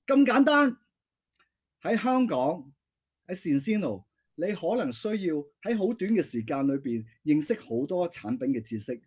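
Lively high-pitched event host. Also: male voice, husky. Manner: showman-like, lively announcing. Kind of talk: announcing at a launch event.

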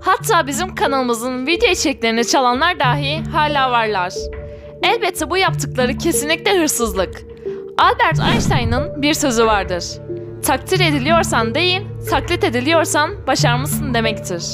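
Female online video narrator, high-pitched, enthusiastic and viewer-directed, over background music. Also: lively intonation, moderate pace. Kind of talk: scripted narration for a cartoon countdown video.

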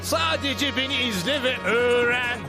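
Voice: medium pitch, unhurried, loud music behind the voice, natural voice. An actor playing a boastful, cocky star racer pilot in a dubbed cartoon, pompous and taunting. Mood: smug. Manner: pompous, taunting, brags about himself.

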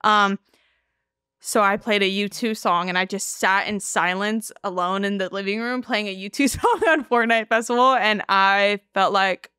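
A woman's voice: mid-range voice, fairly even intonation, normal speed, pushed and bright, clear, resonant tone, no echo, clean studio sound. A woman in her twenties co-hosting a casual podcast, talking candidly with slang and swearing. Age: 20s. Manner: candid, slangy, heavy cursing. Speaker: podcast co-host.

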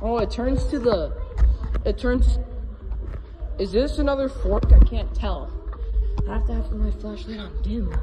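A speaker with a Minnesota accent giving chatty, nervously excited running commentary while crawling through a narrow cave, filmed on a handheld phone. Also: medium pitch, measured pace, pauses often, over music. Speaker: cave explorer filming. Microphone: handheld phone camera. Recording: muffled phone audio, loud noise.

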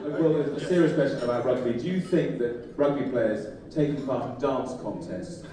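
A man's voice: medium pitch, normal speed, slight background noise. A man hosting a panel, teasing his guests with cheeky banter into a handheld mic. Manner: cheeky, mocking, laughs often. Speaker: event host. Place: large hall with crowd. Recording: roomy hall, distant, loud crowd.

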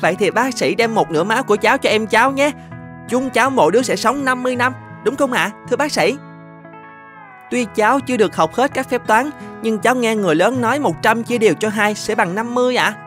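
A woman's voice: a little high, quick pace, fairly bright tone, soft background music, natural voice. A woman voicing a tender, innocent little boy in a cartoon, speaking earnestly and politely like a child. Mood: earnest, hopeful. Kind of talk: Vietnamese animated moral tale dubbing.

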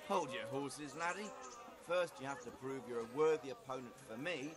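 An actor playing a cocky, gruff medieval brawler in a video game, brusque and condescending. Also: male voice, medium pitch, normal speed, close to an everyday voice.

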